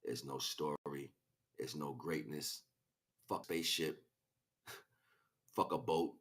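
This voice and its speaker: A man delivering an impassioned, improvised spiritual monologue, preachy and grandiose, swinging between hushed asides and loud, emphatic declarations. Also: a bass voice, fairly even intonation, slow pace. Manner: preachy, grandiose, repeats phrases for weight. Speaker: spiritual speaker, streamer.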